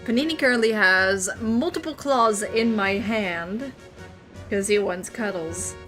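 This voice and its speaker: A woman's voice: medium pitch, unhurried. A Dutch woman streaming a video game, chatting casually and playfully as she reacts aloud. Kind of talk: casual live game commentary. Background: soft game music.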